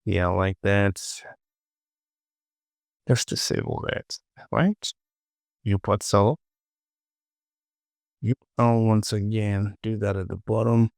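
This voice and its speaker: A man presenting a casual software tutorial, informally talking himself through the work and often repeating words, close to the mic. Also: fairly low pitch, fairly even intonation, slow pace, vocal fry, long pauses, no room sound.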